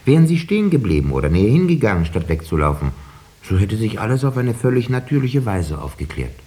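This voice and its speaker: Deep, mellow, didactic schoolteacher. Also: male voice, sing-song. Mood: firm, reasoning. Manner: measured, didactic. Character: rational, measured male village schoolteacher who also narrates. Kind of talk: 1950s German radio drama narration and dialogue.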